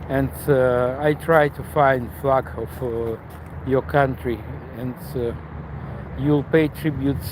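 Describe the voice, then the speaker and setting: A man with a Ukrainian accent talks slowly in English, pausing often on "uh" as he streams live from a city street. His voice sits at an ordinary middle pitch. Traffic and street noise carry clearly behind him. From eastern Ukraine. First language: Russian or Ukrainian. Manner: halting, many "uh" fillers, slow. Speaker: tour guide and live streamer. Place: outdoors at a memorial in a city street. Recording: noisy outdoor city street. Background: city traffic and sirens.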